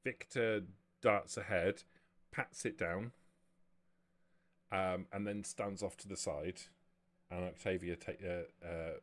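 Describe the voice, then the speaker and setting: A man's voice: sits low, steady tone, takes it slowly, pauses often, a bit bright. A man running a live tabletop roleplay game as game master, explaining and narrating in an informal way.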